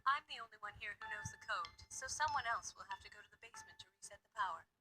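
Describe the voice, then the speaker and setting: An actress playing a formal, guarded woman in charge of office security in a visual novel game, speaking in a measured way. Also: medium pitch, animated, music in the background, lightly acted. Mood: instructive.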